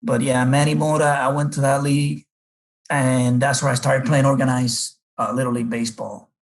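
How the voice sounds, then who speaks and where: Gravelly man. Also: medium pitch, little pitch movement. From Dominican Republic. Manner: reflective, unhurried, with many fillers. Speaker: guest, baseball coach. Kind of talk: casual interview storytelling.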